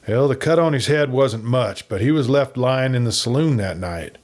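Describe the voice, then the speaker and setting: A man's voice: medium pitch, expressive, moderate pace, darkish tone, lightly acted. A man voicing a laconic, secretive cowboy outlaw, telling things in an understated way. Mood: guarded. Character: laconic, regretful outlaw bringing news.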